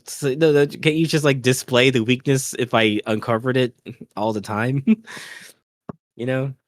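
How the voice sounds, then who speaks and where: A man's voice: medium pitch, fairly even intonation, a little slow, dry recording, clean studio sound. A man chatting informally as a podcast co-host about games, griping in an offhand, conversational way. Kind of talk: casual chat about video games.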